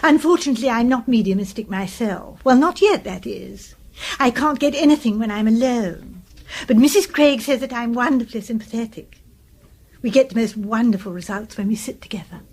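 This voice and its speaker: An actress playing an earnest, gullible British spinster nurse-companion in a radio drama, pious and gushing in manner. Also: female voice, on the high side, fairly quick, darkish tone. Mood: gushing, wistful.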